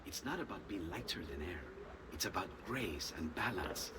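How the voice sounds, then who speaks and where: An actor playing an excitable, earnest Renaissance inventor with an Italian accent in a video game. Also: male voice, sits low, normal speed, recorded from a distance, very noisy. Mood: earnest, instructive. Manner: expressive, earnest, exclamatory. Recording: echoey reverb, music underneath. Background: game music.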